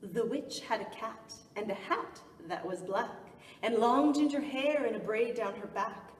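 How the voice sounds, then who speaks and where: A woman's voice: on the high side, measured pace. A woman reading aloud to children as a library story time host. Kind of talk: rhyming picture book read-aloud. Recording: echoey hall, distant mic, faint noise.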